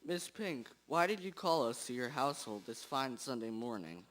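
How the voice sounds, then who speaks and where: A man's voice: mid-range voice, sing-song, normal speed, a bit bright. A man playing an earnest, formal policeman in a stilted amateur radio drama style. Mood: earnest concern.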